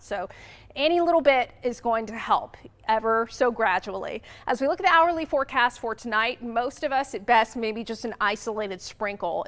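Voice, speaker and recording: Female TV meteorologist, chatty and upbeat forecast delivery, somewhat high voice, clean studio mic. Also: fairly bright tone. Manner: chatty, upbeat, self-joking. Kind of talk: TV weather forecast with casual banter.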